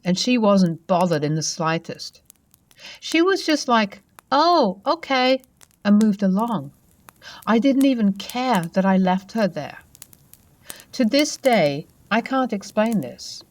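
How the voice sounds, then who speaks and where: A woman narrating a first-person ghost story in a conversational, expressive way, with dramatic emphasis and pauses. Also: medium pitch.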